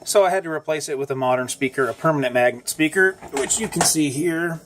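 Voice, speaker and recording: A man's voice: fairly high pitch, background noise. Wheezy man explaining a radio repair. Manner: halting, many ums and uhs, corrects himself. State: slightly wheezy from asthma. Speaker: amateur radio operator restoring an old radio. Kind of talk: casual how-to explainer.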